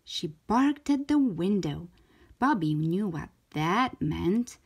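A woman reading a storybook aloud for young children in an expressive, deliberate way, stretching out key words. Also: lowish voice, breathy edge. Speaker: children's storybook reader, show host.

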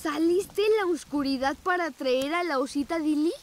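A woman's voice: high-pitched, moderate pace, noisy background, natural voice. A woman voicing a playful, protective young boy puppy, a big brother in a dubbed preschool cartoon, childlike and teasing. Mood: friendly.

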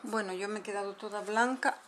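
A woman's voice: mid-range voice, steady tone, a little fast, noisy background. Woman explaining a homemade makeup recipe. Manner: patient step-by-step explaining while mixing powders. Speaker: makeup tutorial host. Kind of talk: casual how-to demonstration. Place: home room at a table. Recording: home video with clinking dishes. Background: clinking spoons and bowls.

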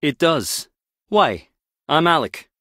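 An actor playing a wry, guarded skip tracer in a video game, teasing yet protective, speaking in a clipped, conversational way. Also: male voice, on the high side, very animated, slow, a bit bright, no room sound, studio quality, exaggerated voice. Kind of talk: video game character dialogue.

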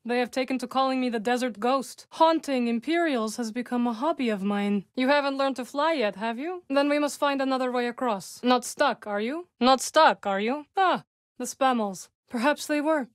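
A composed young witch from a video game, as a woman voices her, with a slightly high voice and measured, formal phrasing. Her humor is dry and understated. The close studio sound is very dry, with no room around it. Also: no room sound, lightly acted. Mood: calm. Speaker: game companion character. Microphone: close studio mic.

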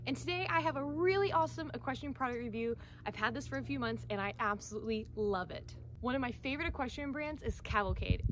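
A young American woman talking enthusiastically and emphatically, in the casual, chatty style of a vlogger reviewing products to camera. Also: fairly high pitch. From Central Florida, United States. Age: young adult. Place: outdoors, by a wooden wall and open field. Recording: noisy outdoor camera audio.

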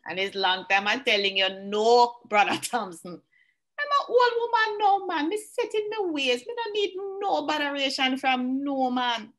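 Actress as feisty old jamaican farm lady, high voice, firm and teasing, radio drama. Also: unhurried, fairly bright tone. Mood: amused, firm. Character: independent, feisty elderly Jamaican farm woman.

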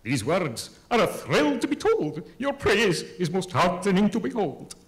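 A man's voice: high voice, sing-song, a little slow. A man playing a grandiose, self-important poet who declaims in rhyme in a theatrical comic reading. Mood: grateful, flattered. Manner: pompous, declamatory, rhyming.

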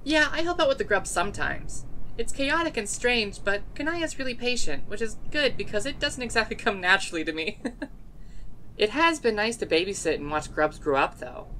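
A woman's voice: mid-range voice, moderate pace, slight background noise. A woman playing a chatty, blunt young woman scientist who is part dog, in casual fan dub drama dialogue. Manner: casual, animated, exasperated at hesitation.

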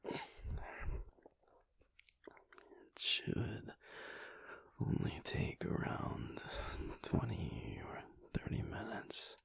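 A young man playing a big, bashful, affectionate werewolf boyfriend in an intimate audio roleplay, speaking softly and haltingly in a husky near-whisper. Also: natural voice. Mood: touched, affectionate. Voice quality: breathy, husky, gravelly. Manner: halting, soft, hesitant with small chuckles.

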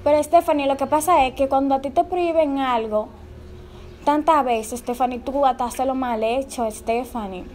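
Young woman, high voice, anxious pleading chat, slightly noisy indoor recording. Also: moderate pace, darkish tone. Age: young adult. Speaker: prank caller, vlogger. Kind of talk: casual phone chat, feigning nervous worry. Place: indoors in a bare-walled room.

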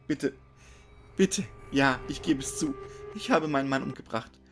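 A man's voice: mid-range voice, a little slow, fairly bright tone, faint music behind, voice pushed up, natural voice. A man voicing a desperate, guilt-ridden woman crying out in anguish in a horror story. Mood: frantic confession. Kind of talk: dramatic horror story reading.

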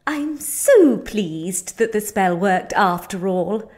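A woman acts an odd, eager spell-working healer in a comic audio drama. She speaks slowly and earnestly, fussing over wordy phrases. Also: medium pitch, animated, a little mellow.

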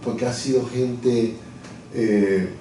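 A middle-aged man speaks in a mellow, darker tone as an interview guest. He explains his views reflectively and often restarts mid-phrase. His voice is heard from a distance in a very echoey office. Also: medium pitch, steady tone, slow, a little hiss or hum. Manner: thoughtful, searching for words with many restarts. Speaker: interview guest, lawyer and communications director. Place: office, seated at a desk. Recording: echoey room from far off.

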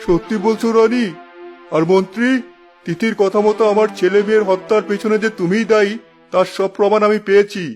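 A man's voice: high voice, steady tone, brisk, a little mellow, soft background music, close to an everyday voice. A man playing a stern but fair king in a fairy-tale cartoon, with a commanding, formal manner.

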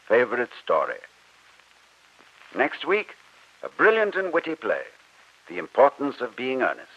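A man hosting an old radio drama program, announcing from a script in a polished, formal, measured manner. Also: fairly low pitch. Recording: dull old radio broadcast recording.

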